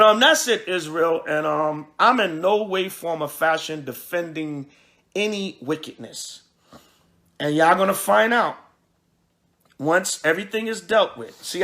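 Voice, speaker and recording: A man giving an informal preaching address as a religious leader, speaking emphatically with repetition and halting restarts. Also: sits high, gravelly, sharp tone. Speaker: priest and religious group leader. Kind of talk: livestreamed address mixing preaching and informal talk.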